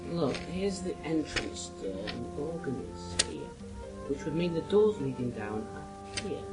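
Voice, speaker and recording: Man playing an earnest, high-voiced young companion. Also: sing-song, a little slow. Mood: puzzled. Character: Adric, earnest clever young male time-traveller companion. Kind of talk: sci-fi radio drama acting. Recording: music under the voice.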